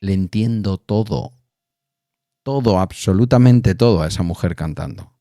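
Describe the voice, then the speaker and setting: Deep, mellow man chatting. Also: normal speed, no room sound, studio quality. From Spain. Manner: rambling, thinking aloud with asides. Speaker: podcast host. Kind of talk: casual chat.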